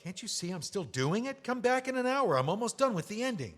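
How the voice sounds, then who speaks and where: A man plays a drunk, resentful widower rewriting his own memoir late at night. His voice sits a little high and leaps around with agitated, slurring bluster. The reading echoes through a large reverberant hall. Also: big pitch swings, fairly quick, a bit bright, full character voice. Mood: defensive. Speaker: playwright reading a part. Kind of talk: live staged reading of an audio play.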